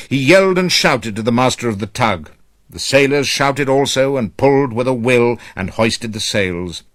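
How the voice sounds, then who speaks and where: A man narrating a children's story from a script as a storyteller, reading aloud in an expressive, measured manner. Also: mid-range voice, no echo, studio quality.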